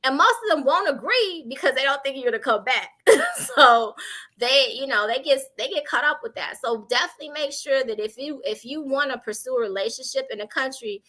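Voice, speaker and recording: An American woman giving candid conversational advice on a panel, animated and informal, illustrating points with anecdotes. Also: high-pitched, brisk. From Chicago, United States.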